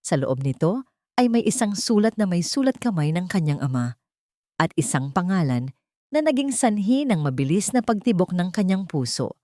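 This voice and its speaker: Expressive, low-pitched woman narrator. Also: dry recording, clean studio sound. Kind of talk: scripted dramatic story narration.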